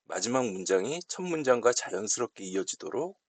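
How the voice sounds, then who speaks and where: Gravelly, husky man giving a tutorial. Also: lowish voice, very animated, fairly quick, no echo. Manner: explanatory, step-by-step, polite speech. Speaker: tutorial instructor. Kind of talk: unscripted software tutorial narration.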